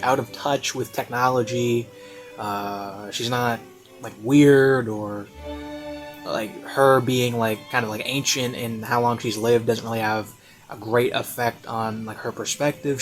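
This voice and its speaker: A man giving a casual, unscripted review in a rambling, hesitant way with lots of fillers. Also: medium pitch. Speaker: online reviewer. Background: classical music.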